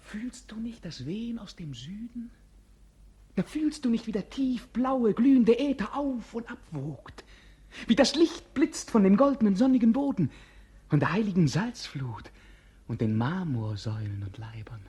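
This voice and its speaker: Melancholy, sardonic young prince, slightly high and husky, dreamy, 1950s radio drama. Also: male voice, expressive, a little slow, dark tone, full character voice. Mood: rapturous. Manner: languid, mocking and then rapturously lyrical. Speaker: actor in a radio play. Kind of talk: 1950s radio play acting.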